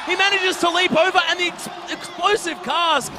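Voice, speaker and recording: High-pitched excited male esports caster. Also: brisk, heavy background noise. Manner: excited, shouting at big plays. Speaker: esports play-by-play commentator. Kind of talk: live game commentary. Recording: loud music and crowd behind voice. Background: music, cheering crowd.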